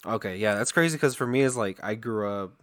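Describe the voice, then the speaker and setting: A man's voice: on the low side, a little fast, a bit bright. A man hosting a casual chat as a streamer, laid-back and often laughing as he talks. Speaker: streamer host. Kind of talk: casual conversation.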